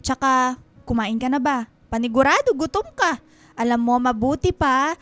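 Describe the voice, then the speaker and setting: High-voiced, fussing, hospitable godmother. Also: normal speed, fairly bright tone, faint music behind. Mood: welcoming, fussing. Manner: effusive, fussing motherly talk. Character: warm, chatty, hospitable middle-aged godmother and proud mother. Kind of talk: Filipino radio drama acting.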